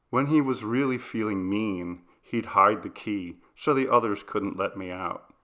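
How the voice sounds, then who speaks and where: A man reading a ghost story in the first person as scripted, dramatic narration. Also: pushed and bright. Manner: dramatic, acts out dialogue with shifts of pitch and volume. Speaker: story reader.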